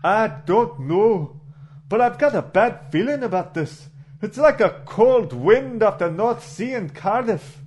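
A man voicing a folksy Welsh male engineer in a comedy audio drama, with a put-on Welsh accent and a slow, lilting delivery. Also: high-pitched, lively intonation, darkish tone.

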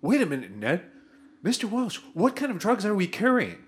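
A whiny, high-voiced greedy starship crewman. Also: wildly swooping pitch, moderate pace. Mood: indignant. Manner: whiny, melodramatic. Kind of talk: sci-fi parody audio drama acting.

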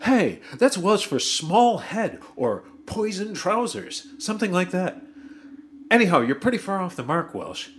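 A man's voice: high-pitched, big pitch swings, measured pace, a little hiss or hum. A man voicing a greedy, whiny male crewman in a comedy audio drama, given to giddy squeals. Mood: sarcastic.

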